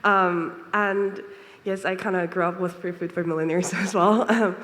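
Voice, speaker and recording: A woman's voice: on the low side, fairly even intonation, moderate pace, fairly bright tone. A woman from Japan asking a long, winding question from the audience, hesitant and full of fillers. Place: lecture hall, audience microphone. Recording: reverberant hall sound.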